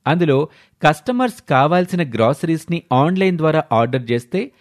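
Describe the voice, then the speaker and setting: A man's voice: fairly high pitch, sing-song, brisk. A man narrating a scripted moral story as a storyteller.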